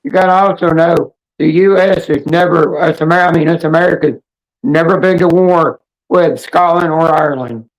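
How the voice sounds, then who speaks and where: A woman with a hoarse, raspy voice hosting a casual livestream chat in a rambling, halting, blunt way. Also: deep voice, rough recording. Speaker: livestream host.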